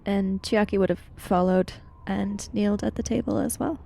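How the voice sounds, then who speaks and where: Creaky, low-key woman roleplaying at a table. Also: steady tone, normal speed, mellow. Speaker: player in a tabletop roleplaying game. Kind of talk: casual third-person narration of own character.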